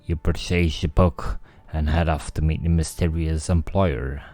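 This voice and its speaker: A man's voice: extremely low pitch, fairly even intonation, measured pace, darkish tone. A man streaming a game, thinking aloud and reading the story text himself. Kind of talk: casual game commentary with read-aloud narration. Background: faint music.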